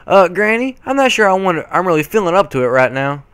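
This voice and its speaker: A man's voice: high-pitched, big pitch swings, quick pace, a bit bright, clean tone, no echo, pitched above the performer's own voice. A man voicing a grown female farm pony, a big sister with folksy country phrasing, in a story reading. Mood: reluctant.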